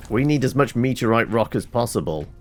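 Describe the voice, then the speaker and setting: A man's voice: medium pitch, lively intonation, fairly quick, soft background music. A man giving casual running commentary while playing a game, reacting aloud and reading out item notes. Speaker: gameplay commentator.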